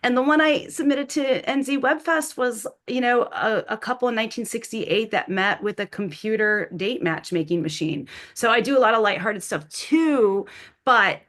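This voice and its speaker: A woman's voice: a little high, moderate pace, a bit bright. A woman talking informally as a podcast panel guest, in an effusive, rambling way. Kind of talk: informal unscripted panel talk.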